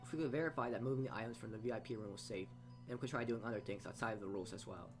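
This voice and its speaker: Male let's player, mid-pitched, narrating visual novel text live, tripping over words and rereading, music clearly behind him. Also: very fast. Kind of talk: live read-aloud narration with side comments.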